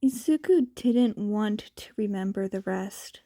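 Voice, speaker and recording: Slow, expressive woman reading a story. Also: medium pitch, no echo, studio quality. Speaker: fan fiction narrator. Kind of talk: scripted story narration.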